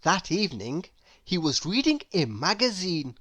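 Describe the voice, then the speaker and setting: A woman reading a children's story aloud in an expressive narrating style. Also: on the low side, moderate pace, husky. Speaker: storybook reader.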